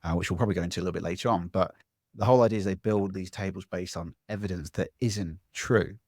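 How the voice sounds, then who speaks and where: Animated man coaching. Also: sits low, quick pace, dry recording, studio quality. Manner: animated, acts out other people's voices. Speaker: public speaking coach, podcast guest. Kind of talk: conversational interview answer. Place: at home.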